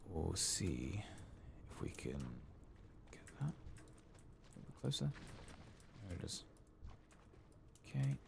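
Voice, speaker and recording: A man hosts a game playthrough in a hushed, soft-spoken ASMR style. His voice is extremely deep and murmured, with a gravelly creak. He takes his time over the words at a slow pace. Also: very animated, noisy background. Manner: hushed, murmured, occasionally whispering. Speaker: gaming channel host.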